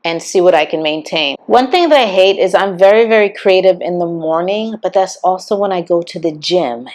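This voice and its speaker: Slightly raspy, lower-pitched woman vlogging. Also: darkish tone. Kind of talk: casual personal vlog narration.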